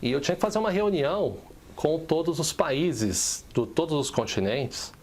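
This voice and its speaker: A man's voice: fairly high pitch, animated, moderate pace, slight background noise. A Brazilian man co-hosting a casual business podcast, telling a story from his own working life in a conversational way. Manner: conversational, recounts personal work story. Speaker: podcast co-host.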